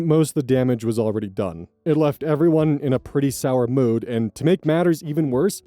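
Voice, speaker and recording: A man reading online stories aloud as host, adding blunt, profane commentary in a casual, animated way. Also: fairly high pitch, no room sound.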